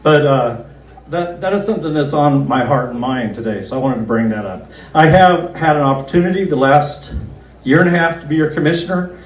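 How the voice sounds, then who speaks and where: A man speaks a little high for a man, folksy and earnest, leaning on slow, drawn-out words to stress a point. His campaign pitch sounds roomy, caught from a way off the mic. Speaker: county commissioner running for reelection. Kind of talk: campaign speech at an outdoor picnic. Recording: roomy, distant PA-style recording.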